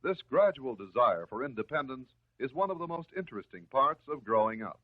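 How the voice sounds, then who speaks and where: American man, 1950s educational film narration, phone-like vintage soundtrack, husky voice, lively intonation, measured and reassuring. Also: medium pitch. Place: voice-over recorded for film. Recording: muffled, narrow-band 1950s film soundtrack.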